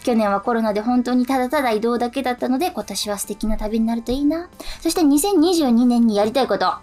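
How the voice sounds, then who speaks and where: A woman's voice: fairly high pitch, fast talker, a little mellow. A woman co-hosting a radio show, chatting casually and reading listener mail aloud. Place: radio studio. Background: music.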